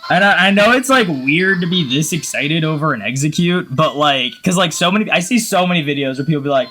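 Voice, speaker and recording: A man with a cold giving casual, excitable, slangy gaming commentary. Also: a little high, fairly quick, soft background music. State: has a cold. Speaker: gaming video host.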